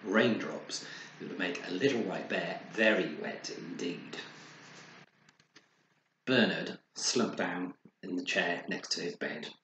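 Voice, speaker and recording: Slow, expressive male children's storyteller, roomy. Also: low voice. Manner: dramatic pauses and sound words. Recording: roomy echo, faint background noise.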